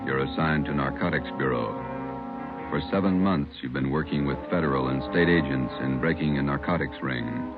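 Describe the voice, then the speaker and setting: A man announcing a 1950s radio drama with grave, terse, measured scripted narration. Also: rumbling low, loud music behind the voice. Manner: solemn, terse, measured phrases. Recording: muffled old radio recording.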